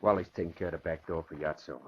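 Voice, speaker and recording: An actor playing a slangy, hedging gangster's underling in a 1940s-style radio crime drama. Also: male voice, very deep voice, steady tone, fast talker, a little mellow. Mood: casual, hedging. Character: nervous, chatty gangster underling in numbers racket. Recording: noisy, dull old radio recording.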